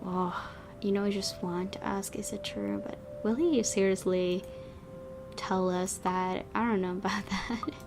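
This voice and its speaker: A woman's voice: medium pitch, steady tone, measured pace, creaky. A woman hosting a gaming video, giving casual commentary and reading the story narration aloud. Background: game music.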